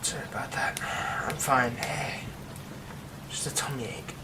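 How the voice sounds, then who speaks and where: A man's voice: medium pitch, a little slow, close to an everyday voice. A man playing a secretive werewolf friend. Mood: tense, defensive. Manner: hushed, often dropping to a whisper. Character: secretive young man hiding a werewolf curse. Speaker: ASMR roleplay voice actor. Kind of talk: ASMR roleplay drama. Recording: steady rain noise behind the voice.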